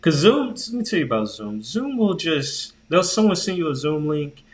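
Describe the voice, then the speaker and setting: Animated, slangy podcast guy. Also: a little high, normal speed. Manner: animated storytelling, slangy and profane, repeats words for emphasis. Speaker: podcast co-host. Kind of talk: casual chat.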